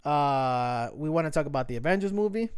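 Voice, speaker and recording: A man's voice: fairly high pitch, expressive, fairly quick, smooth, dry recording, studio quality. A man in his thirties from New York co-hosting a casual podcast, talking loosely and swearing as he tells stories. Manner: swearing, loose storytelling with impressions. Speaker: podcast co-host. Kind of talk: casual chat.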